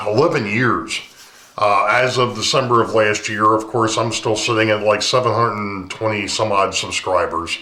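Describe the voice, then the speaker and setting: Casting: man with a booming, mid-pitched voice, rambling vlog host, camcorder mic, echoey room. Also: fairly bright tone. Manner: rambling, chatty, many ums. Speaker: model railroad channel host. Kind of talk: casual talk to camera. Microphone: camcorder's built-in mic, no lapel mic.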